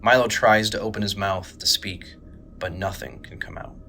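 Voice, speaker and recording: A man's voice: deep voice, steady tone, moderate pace, sharp tone, a little hiss or hum. A man reading scripted dramatic narration for an audio drama.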